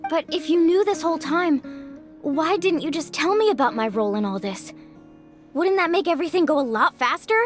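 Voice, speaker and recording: A woman's voice: high-pitched, expressive, fairly quick, soft background music. A woman playing an earnest, questioning young female hero in a video game. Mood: questioning, frustrated. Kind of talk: scripted video game dialogue.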